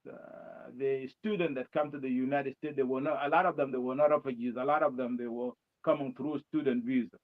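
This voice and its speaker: Man, South Sudanese accent, mid pitch, halting and repetitive, muffled lo-fi recording. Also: fairly even intonation. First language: his mother tongue and Arabic. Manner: halting, many restarts and fillers. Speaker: guest, presidential candidate. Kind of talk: interview answers. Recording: dull lo-fi sound.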